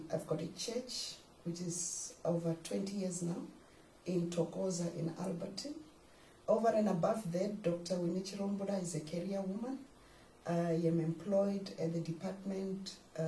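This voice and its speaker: An older South African woman, a pastor, speaking measured and formal in a studio interview, sometimes naming herself in the third person. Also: fairly low pitch, warm tone, echoey room, recorded from a distance, slight background noise, rough recording.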